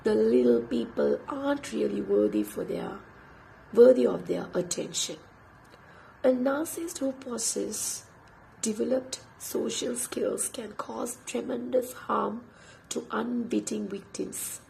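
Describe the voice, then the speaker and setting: Hoarse, whispery woman with a sore throat. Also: on the high side, animated, a little hiss or hum, rough recording. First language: Tamil. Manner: hushed, slow, breathy half-whisper. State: sore throat, voice nearly lost. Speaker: English instructor. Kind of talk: late-night personal vlog, reading aloud from a book.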